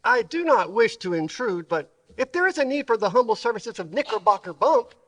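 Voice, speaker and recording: A man's voice: very high-pitched, sing-song, fairly quick, a bit bright, heavily acted. A man voicing a humble yet pompous comic sidekick in old-time radio style, with ornate, over-formal speech. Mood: pompously humble. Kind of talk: live old-time radio character acting.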